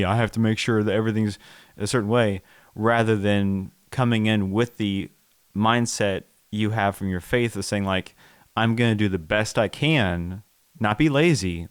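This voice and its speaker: A man's voice: fairly low pitch, clean tone, dry recording. A man hosting a conversational podcast interview, asking questions in a tentative, roundabout way.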